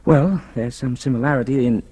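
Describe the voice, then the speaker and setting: An actor playing a firm, paternal doctor with an admonishing, measured manner in 1940s radio drama style. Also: male voice, mid-range voice, dark tone, slightly rough, close to an everyday voice. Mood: musing, wary. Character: firm, paternal doctor treating a fearful patient.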